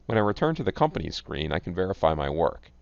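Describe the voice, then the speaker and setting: Deep-voiced man reading a software tutorial. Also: sing-song, quick pace, creaky, dry recording. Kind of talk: scripted instructional narration. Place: close, dry voice-over recording. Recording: dull, lo-fi close voice-over.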